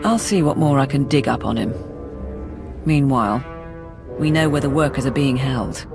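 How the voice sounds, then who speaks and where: A performer playing a dry, hard-nosed imperial intelligence officer, giving brisk, wry briefings in a video game. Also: medium pitch, lively intonation, creaky, music in the background. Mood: businesslike. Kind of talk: video game cutscene acting.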